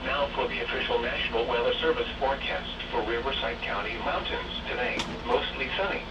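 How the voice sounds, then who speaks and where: A synthetic man's voice reading automated weather radio bulletins and test announcements in a formal, impersonal, measured way. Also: medium pitch, far from the mic, lo-fi sound. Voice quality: synthetic text-to-speech voice. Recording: noisy weather radio picked up from afar.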